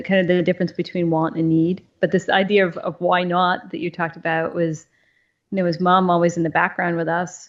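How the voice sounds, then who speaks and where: Low, flat-voiced woman, thoughtful and halting. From northern Maine, USA. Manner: thoughtful, halting, many fillers. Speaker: CEO, podcast guest. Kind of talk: reflective interview answers. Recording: dull lo-fi sound, faint music.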